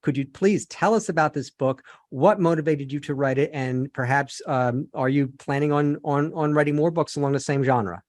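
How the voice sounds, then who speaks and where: A male podcast host interviews a guest, stringing long, carefully built questions together with small restarts. His voice sits a little higher than most men's. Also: normal speed, hardly any pauses.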